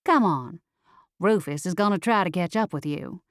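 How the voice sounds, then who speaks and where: A woman's voice: fairly low pitch, expressive, normal speed, no room sound, studio quality, close to an everyday voice. Woman voicing a sassy, bossy talking cat. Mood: blunt, coaxing. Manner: folksy, blunt, bossy.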